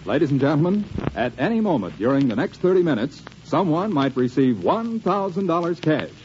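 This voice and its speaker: A man's voice: on the high side, sing-song, brisk, a little mellow. An American man announcing a 1940s radio show in a showy, proclaiming style. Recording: muffled, hissy 1940s radio recording. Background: studio audience.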